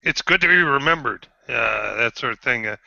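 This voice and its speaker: Man in his fifties, halting and hesitant, very bright edgy tone, dry muffled call audio. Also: medium pitch, expressive, gravelly, no echo. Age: 50s. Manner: halting, many pauses and restarts. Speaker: guest on a panel. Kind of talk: casual group chat.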